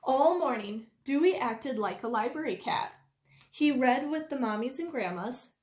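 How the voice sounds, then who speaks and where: A woman reading a children's story aloud expressively, with deliberate, drawn-out emphasis. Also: sits high, lively intonation, a little mellow. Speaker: storytime reader. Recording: roomy indoor echo.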